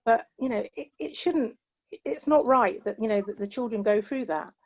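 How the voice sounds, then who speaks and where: A woman's voice: a little high, moderate pace, slightly rough. A middle-aged woman with a British accent, sharing personal reflections in an earnest, heartfelt way and often saying "you know" as she talks. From England. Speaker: panel guest.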